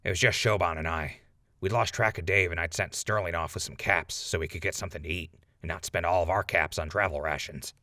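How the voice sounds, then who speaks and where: A man plays a defiant ghoul on the witness stand in a post-apocalyptic radio play. His low voice is forceful and creaky, and he rambles on argumentatively with outspoken conviction. The sound is close and dry. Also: fairly even intonation, brisk, no room sound. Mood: insistent. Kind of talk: post-apocalyptic audio drama acting.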